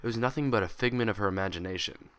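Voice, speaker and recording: Male audiobook-style narrator, low pitch, sharp bright tone, expressive scripted delivery. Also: very fast, smooth. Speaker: fan fiction reader.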